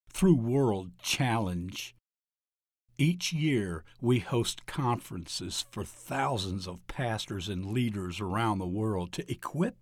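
A man, a pastor, reading a scripted piece aloud in a measured, deliberate manner. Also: fairly low pitch, dry recording, clean studio sound. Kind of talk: scripted narration of an article on ministry.

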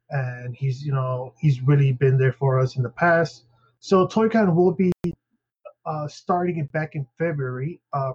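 A man's voice: a little high, darkish tone. A man co-hosting a podcast in casual chat, rambling with many fillers and restarts, English not his first language. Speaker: podcast co-host. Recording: dull lo-fi sound.

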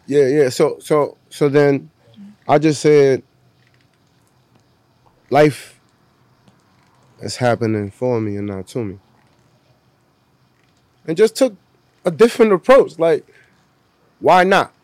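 A man's voice: a little high, lively intonation, slow, pauses often, a little mellow, no room sound. A man in his forties from Puerto Rico, a guest musician talking casually and laughing often. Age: 40s. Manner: laid-back, laughs often, repeats "you know what I'm saying". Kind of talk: casual interview chat.

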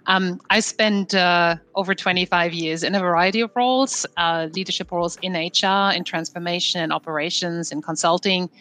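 A woman's voice: lowish voice, fairly even intonation, moderate pace, few pauses, fairly bright tone. Enthusiastic middle-aged woman panelist. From Germany. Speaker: panelist, HR executive. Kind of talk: panel podcast introduction.

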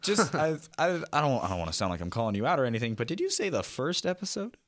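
A man's voice: medium pitch, very animated, fast talker, a bit bright, dry recording. A young man with a Midwestern American accent and a distinctive radio-ready voice, chatting casually as a guest. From Iowa, United States. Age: young adult. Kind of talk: casual chat. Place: radio studio. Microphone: close studio microphone.

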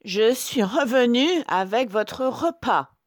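A woman voicing a sly male storybook wolf who fakes a sweet, soft, motherly voice. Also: slow, no room sound. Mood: falsely sweet. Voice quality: soft, high, sugary.

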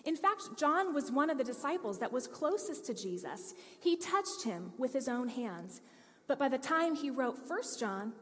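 Woman pastor, high pitched, earnest emphatic sermon delivery, reverberant sanctuary sound. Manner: earnest storytelling preacher, lively emphasis. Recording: long hall reverb.

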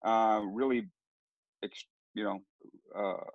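A man's voice: fairly low pitch, fairly even intonation, slow, dry recording. A middle-aged man from Southern California tells his story in a casual interview, wandering and restarting as he goes. The sound is close and dry, with a slightly dull, muffled tone. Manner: rambling, with many restarts and fillers. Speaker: sports broadcaster guest.

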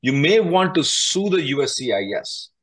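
A man's voice: a little high, lively intonation, moderate pace, a bit bright. Blunt man giving call-in advice. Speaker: immigration attorney hosting a call-in. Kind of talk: question-and-answer advice call.

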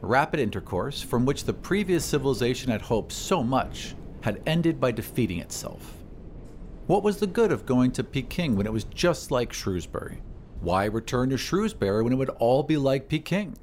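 A man narrating a story in a deliberate, literary, dramatic scripted style. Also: medium pitch, big pitch swings, darkish tone, a little hiss or hum. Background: music.

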